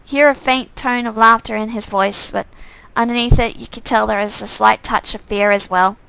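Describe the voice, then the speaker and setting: A woman's voice: a little high, steady tone, normal speed, gravelly, fairly bright tone, clear, resonant tone, no echo, rough recording. A woman streaming a visual novel game, reading its text aloud casually and sometimes stumbling over phrases and repeating them.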